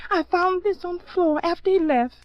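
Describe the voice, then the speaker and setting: A woman's voice: very high-pitched, normal speed, no echo. An American woman playing an anxious, deferential household maid in a Golden Age radio drama, speaking in a plain, folksy way. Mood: subdued, worried. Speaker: supporting radio actress. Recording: dull old radio recording.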